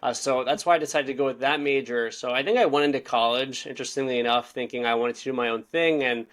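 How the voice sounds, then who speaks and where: A young man in his twenties, originally from Israel, talking as a podcast guest. He speaks quickly in a loose, rambling way, often circling back to correct himself. His pitch sits in a middle range. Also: fairly even intonation, fairly bright tone. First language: Russian. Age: 20s. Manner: fast, rambling, self-correcting. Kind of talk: casual interview talk.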